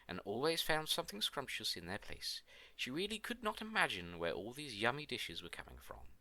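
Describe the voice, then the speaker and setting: A male storyteller reads a fairy tale in a theatrical storybook style. His pitch swoops widely, and his bright tone has some creak, recorded close and dry. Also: dry recording. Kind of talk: scripted fairy tale narration.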